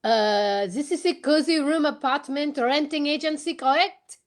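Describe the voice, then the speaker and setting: A woman acts out a courteous, fussy Belgian detective for an old-time radio play. The voice sits fairly high. The delivery is formal and halting, with French words dropped in as he insists politely. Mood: polite, insistent.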